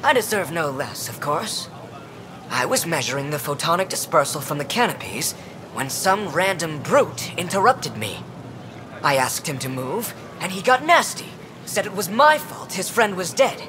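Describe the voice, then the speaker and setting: Irritable woman scientist, game dialogue, curt, sarcastic, very varied pitch, clear background ambience. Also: sing-song, moderate pace, background noise. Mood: indignant. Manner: curt, self-important, sarcastic. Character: haughty, irritable woman scientist in space colony. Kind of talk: video game dialogue acting.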